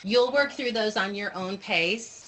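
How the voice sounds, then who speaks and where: A woman's voice: medium pitch, a little slow, a bit bright. A woman leading an informal online training for teachers, explaining step by step and checking in with her listeners over a video call. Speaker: workshop leader training teachers. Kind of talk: informal online training presentation with questions and answers. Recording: noisy video-call audio.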